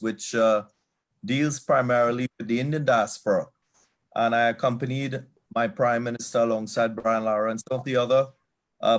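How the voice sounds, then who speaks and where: A man with a Trinidadian accent speaks earnestly at an online event, his mid-range voice broken by frequent fillers and restarts. The sound comes through a video call. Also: mid-range voice. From Trinidad and Tobago. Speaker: guest speaker.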